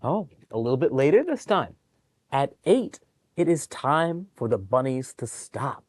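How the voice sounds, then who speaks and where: A man reads a bedtime picture book to young children with very animated, varied intonation. He breaks off for chatty, encouraging explanations. His voice is mid-pitched and the sound is dry. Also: a little slow, no room sound. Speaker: children's storytime reader at a public library.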